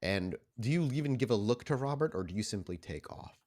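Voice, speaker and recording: A man's voice: on the low side, animated, brisk, a little mellow. A man narrating and refereeing a tabletop roleplaying game as game master, mixing description with casual exclamatory asides.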